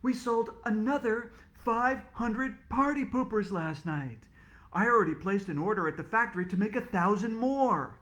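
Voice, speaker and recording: A man's voice: high voice, expressive, measured pace, pitched above the performer's own voice. A man voicing a cheerful adult woman who is an inventor and teaches a class. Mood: triumphant. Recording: roomy echo.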